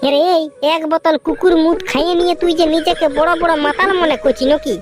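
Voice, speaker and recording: A man voicing an irritable, foul-mouthed young village man in a comic cartoon, with a Purulia Bengali accent and a pitched-up voice. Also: steady tone, fast talker. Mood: irritated. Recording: faint background music.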